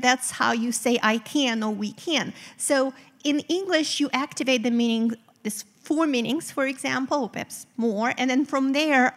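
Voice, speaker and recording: A woman from Eastern Europe, a native Romanian speaker, talks through her research in a clear, explanatory way, with the odd filler. Her voice sits fairly high. It carries the long reverb of a theater stage. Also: fairly bright tone. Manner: articulate, explanatory, occasional fillers. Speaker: guest researcher. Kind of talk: live stage interview. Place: theater stage before a live audience.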